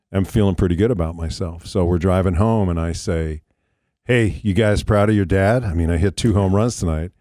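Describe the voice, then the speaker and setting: A man's voice: low-pitched, dark tone, no room sound, studio quality. A man hosting a radio show, easygoing and laughing along as he tells a story. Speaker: radio host. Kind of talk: casual radio interview.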